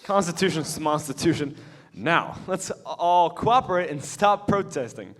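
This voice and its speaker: A man's voice: fairly high pitch, animated, moderate pace, a bit bright. A young man playing a bossy teenage boy who acts like a king, in a staged reading of a musical. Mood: swaggering. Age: young adult. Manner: grandiose, swaggering.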